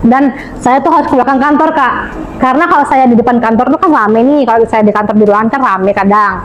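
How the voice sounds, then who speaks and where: A woman's voice: a little high, fast talker, muffled recording, a little hiss or hum. An Indonesian woman speaking as a podcast guest, chatting and telling stories, often addressing the host as "Kak" and mixing in English words. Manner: chatty, storytelling, often adds "Kak" to her sentences. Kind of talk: casual podcast chat.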